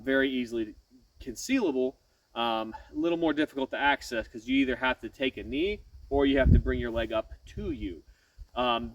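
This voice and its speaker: A man's voice: mid-range voice, recorded from a distance, low-quality recording. A man with a head cold explaining things casually as a channel host, nasal-sounding and filling gaps with lots of ums and restarts. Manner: frequent "um" fillers and self-corrections. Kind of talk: casual firearms explainer to camera.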